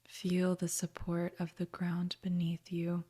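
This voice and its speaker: A woman leads a guided meditation in a soft, half-whispered voice. Her tone is dark and mellow, and her pitch barely moves. She gives each gentle instruction very slowly. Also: completely flat delivery, husky. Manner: gentle, soothing, unhurried instruction.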